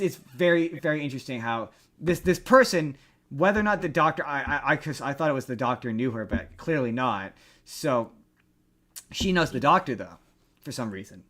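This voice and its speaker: A man's voice: medium pitch, animated, normal speed, a bit bright. A man hosting a casual pop-culture discussion, talking in a rambling way and repeating words for emphasis. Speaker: podcast host.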